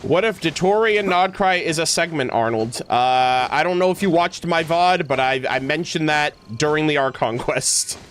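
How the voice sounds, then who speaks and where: A man livestreaming, chatting casually with his viewers in a halting, thinking-aloud way. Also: fairly high pitch, a bit bright, a little hiss or hum. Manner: casual swearing. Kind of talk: casual chat with stream viewers. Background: music.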